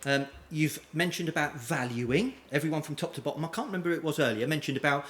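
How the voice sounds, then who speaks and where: A middle-aged man giving an energetic conference talk, firing questions at the audience. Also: on the high side, quick pace, breathy edge. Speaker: conference speaker. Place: conference hall.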